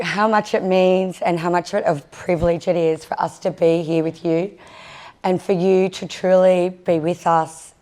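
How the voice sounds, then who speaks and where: A woman with a husky voice, nervous as she gives a welcome and acknowledgements at a conference, with many fillers. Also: fairly low pitch, darkish tone. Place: conference session room.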